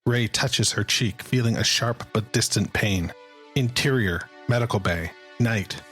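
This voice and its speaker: A man serves as narrator of a dramatic script, reading scene headings and action. His voice sits fairly low. Soft music runs underneath. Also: measured pace.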